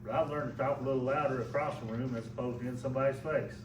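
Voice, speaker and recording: Loud folksy man. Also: medium pitch, steady tone, fast. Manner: folksy, plain-spoken. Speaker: local official. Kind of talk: public address. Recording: echoey room, mic far off, background noise.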